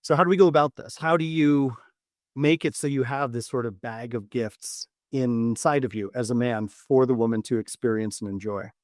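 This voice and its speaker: A man speaking as a dating coach and podcast host, giving instructional advice in deliberate, measured phrasing with repeated words. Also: mid-range voice, darkish tone, no room sound, studio quality. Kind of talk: instructional podcast monologue to camera.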